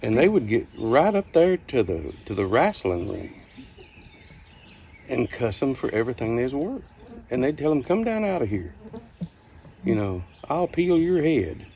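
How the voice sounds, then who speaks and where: An older man reminiscing casually, telling stories in a folksy, unhurried, halting way. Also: medium pitch, sing-song, dark tone, slight background noise. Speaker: storyteller. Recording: outdoor ambience with birdsong behind. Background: birdsong, outdoor ambience.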